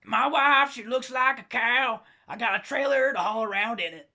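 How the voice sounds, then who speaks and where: A man putting on a parody country singer and singing a mock song slowly, in an exaggerated, drawn-out way. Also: very high voice, pushed and bright, voice pushed up, full character voice. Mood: hammy. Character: parody male country singer, hammy, crooning.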